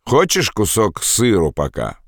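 A man voicing a rough, bossy older woman in an audiobook, speaking bluntly and with command. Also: lowish voice, huge pitch swings, a little slow, no room sound, clean studio sound, pitched above the performer's own voice, heavily acted. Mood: teasing.

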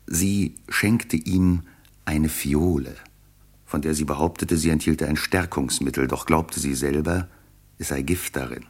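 A man narrating a classical German radio play as a composed storyteller, in measured literary speech. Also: rumbling low, darkish tone, slightly rough. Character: composed male storyteller of a royal tale.

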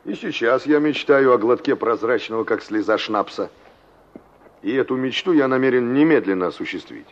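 An actor playing a brusque, mocking carpenter in a Soviet radio play, talking down to a younger listener. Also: male voice, mid-range voice, lively intonation, moderate pace, close to an everyday voice. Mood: brusque, teasing. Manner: sardonic, condescending. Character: brusque, mocking carpenter, older than the girl he talks to. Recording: dull, muffled 1960s radio recording.

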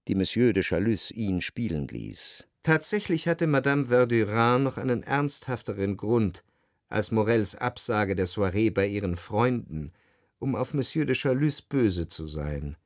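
A man narrating a literary radio play in the first person, measured and composed, in a dry studio. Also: fairly low pitch, sing-song, no room sound. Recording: dry close studio sound.

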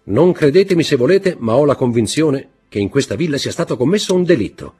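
A man voicing a commanding nobleman count in an audiobook reading, speaking forcefully and dramatically. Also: mid-range voice, lively intonation, fairly quick, husky, faint music behind, voice pushed up. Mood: insinuating.

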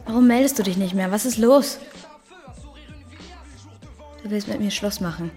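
An actress in a radio drama playing a wounded, defiant young lover, emotional and confrontational. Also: female voice, medium pitch, moderate pace, warm tone, soft background music, lightly acted. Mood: hurt, defiant.

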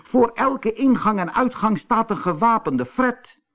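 A man's voice: high voice, animated, a little slow, fairly bright tone, pitched above the performer's own voice. A man voicing a caring, anxious male water rat in a storybook, earnest and fretful. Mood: alarmed.

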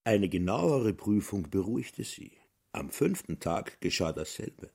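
A man's voice: lowish voice, sing-song, a little slow, creaky, a little mellow, husky, exaggerated voice. A man narrating a satirical literary radio drama. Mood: dry.

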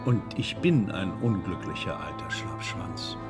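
An actor playing a dignified, disillusioned older man in a radio drama, formal and measured in his speech. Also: low-pitched, expressive, loud music behind the voice. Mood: proud, weary. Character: dignified, skeptical, disillusioned old male actor.

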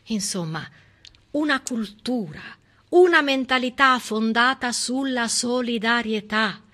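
Female stage monologist, raised pitch and sharp bright tone; emphatic, ironic, persuasive storytelling with deliberate pauses.

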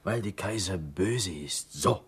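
An actor in a radio play voicing a naive, stubborn Greek prisoner with a Greek accent and broken, emphatic grammar. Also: male voice, deep voice, lively intonation, slow, husky, full character voice. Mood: naive, insistent.

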